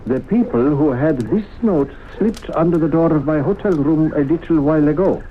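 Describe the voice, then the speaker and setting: An actor playing a smooth, evasive male professor in a 1970s radio drama, formal, courteous and theatrical. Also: fairly high pitch, normal speed, lightly acted. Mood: polite, insistent. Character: smooth, evasive professor claiming to raise the dead. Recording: narrow-band, noisy old radio recording.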